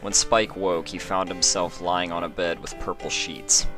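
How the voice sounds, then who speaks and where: A man reading a fan story aloud in even, measured narration. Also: deep voice, over music. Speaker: fanfic reader.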